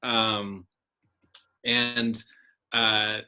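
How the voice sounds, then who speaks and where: A man hosting an online meeting, informally introducing presenters in a complimentary way with frequent pauses. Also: on the low side, flat delivery, pushed and bright, smooth, poor audio. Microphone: video call.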